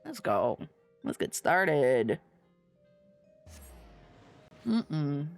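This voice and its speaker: Animated woman streamer, playful and unhurried. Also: low-pitched, faint music behind. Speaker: livestreamer playing a fantasy game. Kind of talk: casual game stream chat with playful asides.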